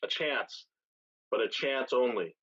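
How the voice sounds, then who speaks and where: A man playing a wry, bargaining pirate suitor with a husky voice in a theatrical staged reading, on a dull recording. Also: mid-range voice, lively intonation, slow pace, a bit bright, full character voice. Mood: wry, teasing. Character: wry pirate lord suitor, bargaining over his bride.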